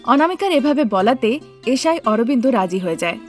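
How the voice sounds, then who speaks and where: A woman's voice: on the high side, expressive, moderate pace, a little mellow. A woman giving scripted narration for a cartoon moral tale. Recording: music behind the voice.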